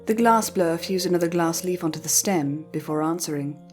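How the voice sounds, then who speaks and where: A woman storyteller reading scripted fiction narration in a measured, careful way. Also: on the low side, a little mellow, faint music behind.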